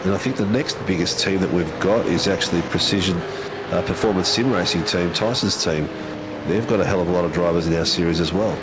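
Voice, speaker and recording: A man's voice: deep voice, a little fast, very noisy. An Australian man commentating a sim race in a casual, matey way, with plenty of ums and uhs.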